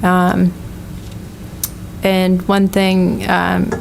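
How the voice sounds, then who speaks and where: A woman reports on a site visit to a town commission. Her voice is low, flat and gravelly, and she pauses often with um. The recording is noisy meeting-room audio. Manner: careful, many ums. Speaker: conservation agent.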